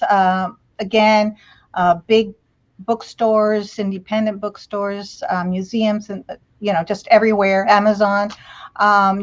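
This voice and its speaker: A woman speaking informally as a guest author on an online panel, plugging her work over a video call. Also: mid-range voice. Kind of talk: informal self-promotion on a panel. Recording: dull, lo-fi video-call audio.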